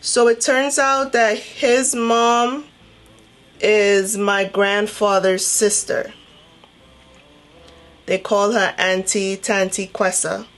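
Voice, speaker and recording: A woman from Trinidad and Tobago chatting casually as a beauty vlogger, telling a personal story in an animated, conversational way. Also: medium pitch, slow, long pauses. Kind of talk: casual chatty storytelling while doing makeup.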